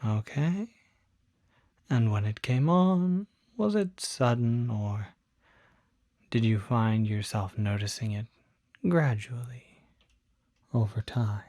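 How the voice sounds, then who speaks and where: A performer voicing a calm, attentive demon doctor in a soft-spoken ASMR roleplay, often whispering, with gentle, deliberate questioning. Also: mid-range voice, no room sound. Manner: slow, deliberate, gentle bedside questioning with pauses.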